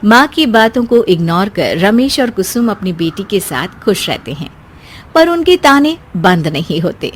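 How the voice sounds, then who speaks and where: A woman reads scripted story narration in a medium-pitched voice. She is the storyteller, carrying a domestic tale along. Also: big pitch swings, unhurried.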